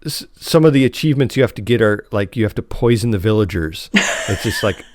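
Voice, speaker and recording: A man's voice: on the low side, expressive, quick pace, darkish tone, no room sound, studio quality. A man hosting a podcast in a casual, informal and slightly rambling chat style. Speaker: podcast host.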